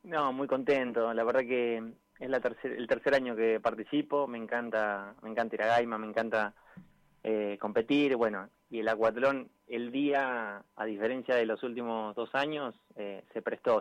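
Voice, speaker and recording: A man's voice: mid-range voice, steady tone, moderate pace, no echo. Argentine man on a dull phone line. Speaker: interviewed amateur triathlete. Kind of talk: casual radio interview.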